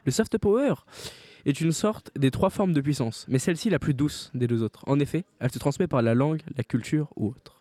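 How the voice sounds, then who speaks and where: A man's voice: a little high, fairly quick, dark tone, dry recording. A young man hosting a student show, reading a scripted introduction to the next segment. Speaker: student host.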